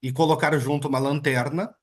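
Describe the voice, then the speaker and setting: A man hosting an interview in a relaxed, chatty way, often tagging his sentences with "né". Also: fairly high pitch, brisk. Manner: relaxed, chatty, with many filler "né"s. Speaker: interviewer and host. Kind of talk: casual interview conversation.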